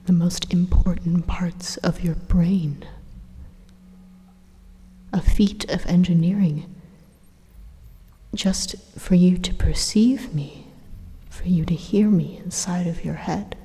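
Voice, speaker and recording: A woman playing an evil supercomputer AI inside the listener's mind, whispering softly and deliberately in a calmly menacing ASMR roleplay. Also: fairly low pitch, heavily acted. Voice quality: breathy, soft whisper. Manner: slow, deliberate, coaxing, quietly threatening. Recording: long echoing reverb on close whisper.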